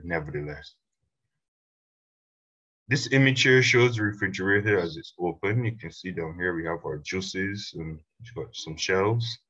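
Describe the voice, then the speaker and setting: A man teaching a screen-recorded software tutorial, explaining step by step in a relaxed way with fillers. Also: deep voice, husky, lo-fi sound. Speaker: instructor.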